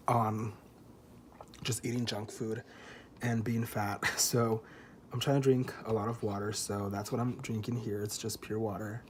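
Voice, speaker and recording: A man's voice: lowish voice, slight background noise. A man in his twenties talking candidly to camera in a rambling, self-conscious way, swearing often and saying he feels a little tipsy. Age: 20s. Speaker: vlogger. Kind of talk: candid confessional vlog.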